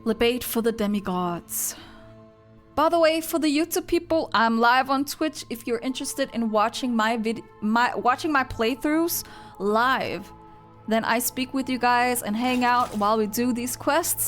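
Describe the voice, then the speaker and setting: A young woman streaming her reaction to a game story, chatting casually and swinging between squeals and flat rambling asides. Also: fairly high pitch, a little slow. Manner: excitable, gasps and squeals, then drops to flat rambling. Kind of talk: casual live reaction commentary. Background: game music.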